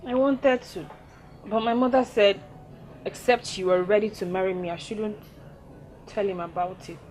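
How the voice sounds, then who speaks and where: An actress playing a troubled young sweetheart, in film drama style. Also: female voice, mid-range voice, steady tone, moderate pace, a little mellow, slight background noise, close to an everyday voice. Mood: worried. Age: young adult. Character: troubled young sweetheart pressured by her family.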